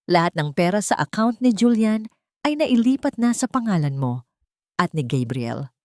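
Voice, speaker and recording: A woman's voice: medium pitch, very animated, normal speed, a little mellow, no room sound, studio quality, natural voice. A woman voicing a loyal, earnest male police friend in audiobook dialogue.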